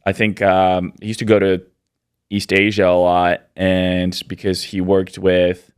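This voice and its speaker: Podcast guest: man in his thirties from Poland, very low and smooth voice, dry close sound, easygoing and rambling. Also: unhurried, no echo. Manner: relaxed, rambling, many fillers. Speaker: podcast guest, business owner. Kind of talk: casual interview answers.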